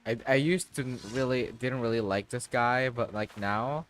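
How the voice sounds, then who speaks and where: A man's voice: medium pitch, normal speed, a bit bright, a little hiss or hum. A man livestreaming a game with casual, slangy, offhand commentary.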